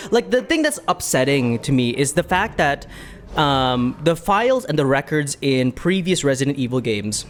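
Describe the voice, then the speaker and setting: A streamer chatting casually and commentating on a game, in a rambling, animated way that repeats words. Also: medium pitch, moderate pace, slight background noise. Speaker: streamer reacting to a video game playthrough. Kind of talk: casual livestream chat, commentary.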